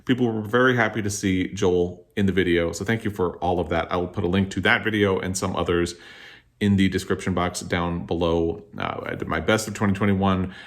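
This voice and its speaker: A man with a fairly low-pitched voice talks as the host of a book vlog. His delivery is casual and conversational. He shares opinions candidly but tends to qualify them. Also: a little fast. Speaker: book vlogger, host of the video.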